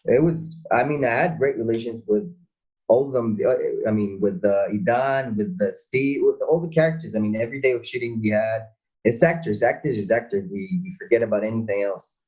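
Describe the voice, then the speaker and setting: A male actor chats as a guest, stopping and restarting as he reaches for his words. His voice is slightly husky. It comes through a dull, lo-fi video call line. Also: on the low side, a little mellow. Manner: halting, searching for words. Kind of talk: casual conversation.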